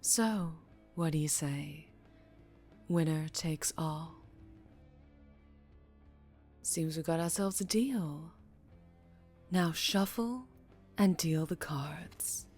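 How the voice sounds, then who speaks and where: A woman playing a dangerously charming female mafia boss in an ASMR roleplay, teasing and menacing, with deliberate purring delivery that drops toward a whisper. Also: low-pitched, a little mellow, slightly rough, faint music behind, exaggerated voice. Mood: coolly bargaining. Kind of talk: ASMR roleplay audio drama, addressing the listener.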